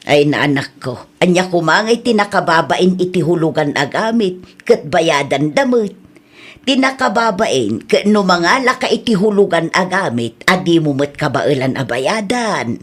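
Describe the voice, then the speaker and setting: A woman's voice: on the low side, expressive, moderate pace. A woman with an Ilocano accent playing a patient, reassuring mother in an audio drama. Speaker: mother and wife.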